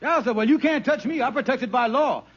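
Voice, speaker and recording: An actor playing a bumbling, folksy schemer with stammering, ungrammatical talk in old radio comedy style. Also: male voice, extremely high pitch, fairly quick. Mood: sheepish bluffing. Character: bumbling, fast-talking male schemer playing dumb. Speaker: sitcom actor. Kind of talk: 1940s-50s radio comedy acting. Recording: muffled, noisy old radio recording.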